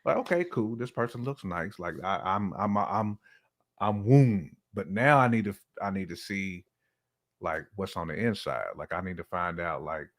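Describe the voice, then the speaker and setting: Animated, low-voiced man chatting casually. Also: normal speed. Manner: colloquial, animated, acting out imagined dialogue. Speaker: podcast guest or co-host. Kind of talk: casual conversational opinion.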